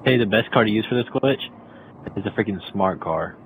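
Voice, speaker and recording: A young man on headset voice chat, excitable and cursing a lot while playing an online game. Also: fairly low pitch, fairly quick, gravelly, slight background noise, rough recording. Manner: excitable, swears often. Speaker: gamer. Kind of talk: casual gaming chat.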